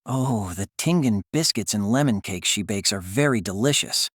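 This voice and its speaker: Guy doing a polite young grad, bit low and husky, understated, audiobook character. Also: expressive, moderate pace, fairly bright tone, no room sound, clean studio sound. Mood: longing. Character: polite, reserved young male graduate. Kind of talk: audiobook character dialogue and inner thoughts.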